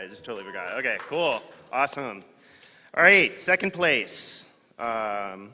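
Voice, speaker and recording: An upbeat man with a bright, lively voice reads out prize winners and jokes with the audience. His voice rings through a large conference hall with a long reverb. A crowd murmurs faintly behind him. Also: medium pitch. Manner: upbeat, joking, calls out to the crowd. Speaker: contest organizer presenting prizes. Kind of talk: announcing winners on stage.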